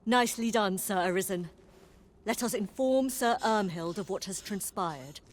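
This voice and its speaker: An actress playing a stern, dutiful royal knight in a fantasy video game, formal and authoritative. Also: female voice, mid-range voice, moderate pace, natural voice. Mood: relieved, respectful. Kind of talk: video game character dialogue.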